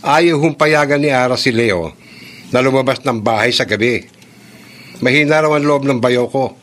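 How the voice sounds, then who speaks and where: A man's voice: mid-range voice, normal speed, a bit bright, natural voice. An actor with a Filipino accent playing a defiant, protective grown son in a horror radio drama, declaiming his actions aloud. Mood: firm, reassuring. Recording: very noisy background ambience.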